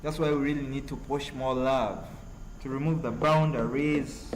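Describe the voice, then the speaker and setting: A man with a Ugandan accent chatting casually on a livestream as he answers viewers, in a halting, repetitive, earnest way. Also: medium pitch, fairly even intonation, poor audio. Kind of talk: casual live chat with viewers, reading out messages. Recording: noticeable background noise.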